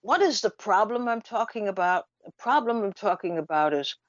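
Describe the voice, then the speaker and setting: A woman giving unscripted radio commentary in an emphatic, deliberate manner, with rhetorical questions and pauses. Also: medium pitch, expressive, no echo. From Trinidad. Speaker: radio talk show host. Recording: dull lo-fi radio audio.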